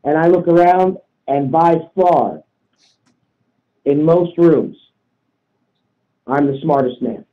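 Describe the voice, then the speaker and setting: Male discussion guest, slightly high pitch, opinionated and profane, insistent delivery, muffled low-fidelity recording. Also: slow pace, pauses often. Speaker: talk show participant.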